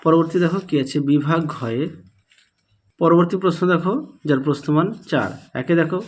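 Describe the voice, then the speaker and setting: A man's voice: fairly high pitch, darkish tone. A man teaching students in Bengali, walking through exam answers step by step and repeating his instructions. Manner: repetitive, instructive, addresses students directly.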